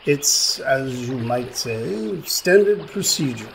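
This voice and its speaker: Performer as magic fish, pompous, wordy, archaic diction, lively swooping delivery, radio play, background ambience. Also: mid-range voice, takes it slowly, vocal fry, slight background noise, low-quality recording. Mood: pompous, brisk.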